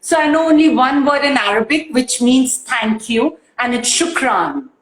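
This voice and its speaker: Bubbly, high-pitched woman guest; expressive, filler-heavy chat in a reverberant room. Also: normal speed, a little hiss or hum. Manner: emotional and bubbly, laughs often, many fillers. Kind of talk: casual interview chat.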